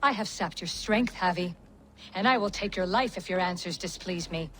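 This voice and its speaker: A woman playing a cunning, vengeful witch in a video game, speaking in a deliberate, taunting and threatening way. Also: lowish voice, fairly bright tone, slight background noise, lightly acted, low-quality recording. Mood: cold threat. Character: menacing, cunning female witch, vengeful mother of a monster. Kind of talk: video game cutscene dramatic acting.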